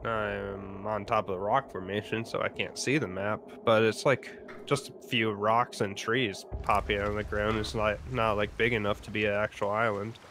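A man's voice: lowish voice, level tone, moderate pace, fairly bright tone, slight background noise. A man chatting casually as a co-player in a video game.

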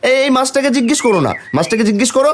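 Man doing a high, cheeky village boy. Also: lively intonation, very fast, a little hiss or hum. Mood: cheeky, defiant. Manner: taunting, sing-song bragging. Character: mischievous cheeky village boy, defiant and bold. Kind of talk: cartoon voice acting.

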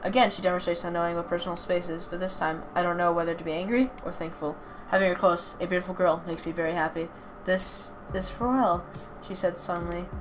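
Low-pitched woman reading aloud, noisy background. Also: little pitch movement, fast talker, hardly any pauses. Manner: stumbles over words and corrects herself. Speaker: reader of a visual novel playthrough. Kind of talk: reading a story aloud, casual reactions. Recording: clear background noise.

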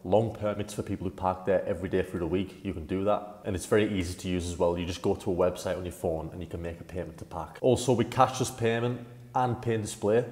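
A man's voice: low-pitched, fairly even intonation, quick pace, darkish tone. A young man presenting a business service in a plain, practical, informal way over slides. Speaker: company presenter. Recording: echoey room with long reverb.

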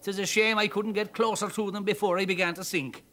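A man's voice: high-pitched, fairly even intonation, fairly quick, bright, forceful tone, pitched above the performer's own voice. A man voicing a rescued Irish bug-hunting man who talks in a cheerful, rambling lilt. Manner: lilting, rambling.

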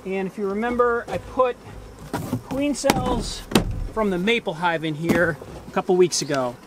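A man's voice: sits high, unhurried, noisy background. A man in his forties casually narrating his work as a beekeeper, talking partly to himself, recorded outdoors. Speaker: beekeeper vlogger. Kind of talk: casual narrated hive inspection. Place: outdoors in a grassy bee yard. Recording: outdoor ambience with buzzing insects. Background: buzzing bees and insects.

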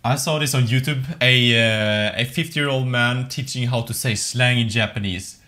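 A man's voice: medium pitch, normal speed, bright, forceful tone. A young man in a small room, talking through a headset mic, joking around and trying out beginner Japanese between English remarks. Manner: playful, jokey, mixes beginner Japanese with English. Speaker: vlogger learning Japanese. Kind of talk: casual chat in a VR world.

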